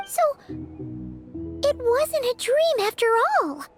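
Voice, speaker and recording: A woman playing a bright, determined young fae girl in a video game, earnest and curious, with an eager and expressive delivery. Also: extremely high pitch, heavily acted. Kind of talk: English video game voice acting.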